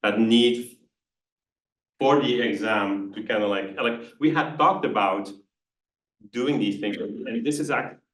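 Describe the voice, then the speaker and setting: A man's voice: lowish voice, slow pace, long pauses, a bit bright. Belgian-accented man lecturing in an echoey room. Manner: hesitant, many restarts and "kind of" fillers. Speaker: engineering professor presenting research. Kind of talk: conference talk with audience questions. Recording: echoey room, voice from far off the mic.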